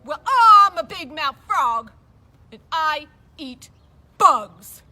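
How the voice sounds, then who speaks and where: A woman voicing a boastful big-mouthed frog in a children's puppet show, with exaggerated, drawn-out greetings. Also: high-pitched, big pitch swings, sharp tone, full character voice. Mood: boastful, eager. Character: boastful, loud big-mouthed frog, eager bug eater. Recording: noisy live recording.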